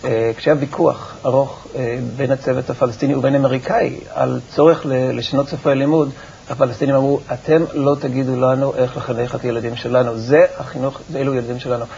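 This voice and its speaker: A creaky-voiced man answers as an expert guest on a TV interview. He explains in a halting, repetitive way, and the broadcast sound is dull with slight noise. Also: medium pitch, fairly even intonation, a little mellow. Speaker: research institute director, interview guest. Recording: muffled, noisy TV broadcast recording.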